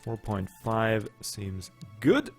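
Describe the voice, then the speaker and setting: A man's voice: fairly low pitch, sing-song, drawn-out words, gravelly, soft background music. A young man, not a native English speaker, casually commenting on his strategy game as he plays, thinking aloud and joking with his units. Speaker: let's play host.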